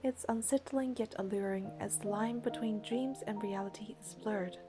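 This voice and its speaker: A woman's voice: medium pitch, moderate pace, over music. A woman reading game narration and dialogue aloud, with casual commentary of her own.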